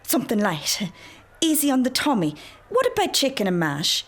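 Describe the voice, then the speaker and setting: A woman's voice: fairly high pitch, wildly swooping pitch, moderate pace. A woman playing a fussing, anxious, protective Irish mother in a naturalistic radio drama. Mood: fussing, caring.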